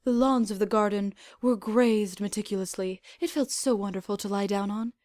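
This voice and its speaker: A woman reading fan fiction aloud as an expressive scripted narration. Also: medium pitch, normal speed, no room sound.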